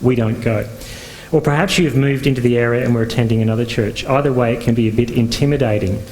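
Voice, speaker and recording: A man's voice: mid-range voice, quick pace, a little mellow, poor audio. A man from Northern Ireland giving a conference talk as a pastor, speaking conversationally with frequent fillers and restarts. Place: conference venue.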